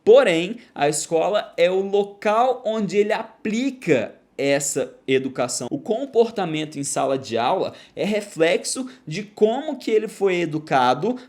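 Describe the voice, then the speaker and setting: A man's voice: sits high, lively intonation, unhurried, few pauses, a little mellow. A man hosting an education podcast, explaining in a didactic way and often checking in with the listener. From Brazil. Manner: didactic, often tags sentences with "tá?". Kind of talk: explanatory solo talk.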